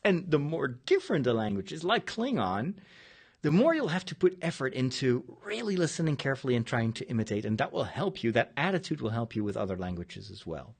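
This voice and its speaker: Dutch-accented man, lively pitch. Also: fairly quick, husky. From Netherlands. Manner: digressive, searching for words mid-sentence. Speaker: priest and podcast host. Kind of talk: casual spoken monologue.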